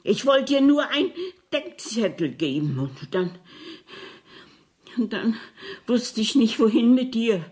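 An actress in a 1970s German radio crime drama playing a distraught woman making a halting confession. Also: fairly high pitch, animated, darkish tone. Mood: anguished, halting. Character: distraught woman confessing to police, cat owner.

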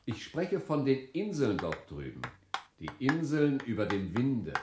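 A man's voice: mid-range voice, sing-song, unhurried, roomy sound, noisy background. A man playing an insistent, theatrical fellow who pleads with and courts a woman in a radio play. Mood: gallant.

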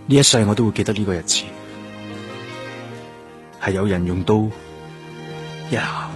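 An actor with a Hong Kong Cantonese accent playing a devoted, dutiful police detective in a radio drama. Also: male voice, fairly low pitch, normal speed, over music, natural voice. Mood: solemn, haunted.

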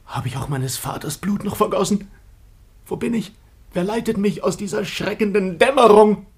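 An actor playing a guilt-ridden, horrified son in a classical radio play, declaiming in frantic anguish. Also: male voice, sits high, normal speed, a little mellow, slightly rough. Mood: horrified despair.

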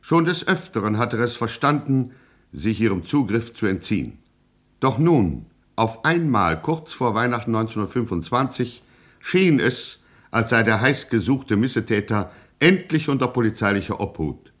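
An actor playing a theatrical, mock-solemn narrator of a satirical gangster radio saga. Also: male voice, mid-range voice, big pitch swings, moderate pace, close to an everyday voice. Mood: mock-dramatic. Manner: theatrical, tongue-in-cheek solemn. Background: music.

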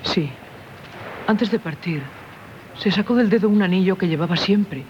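A woman's voice: medium pitch, normal speed, darkish tone, lightly acted. Radio drama, Castilian Spanish: actress as anguished married woman, grave confessional delivery, faint noise on a dull old recording. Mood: haunted, narrating. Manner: solemn, confessional, theatrical delivery. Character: tormented married woman haunted by a former lover. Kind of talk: 1970s radio theatre acting.

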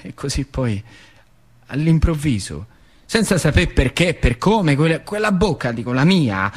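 Animated central Italian male stage storyteller. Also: fairly high pitch, normal speed, husky. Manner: colloquial, repeats phrases for dramatic effect. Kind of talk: theatrical monologue, first-person storytelling.

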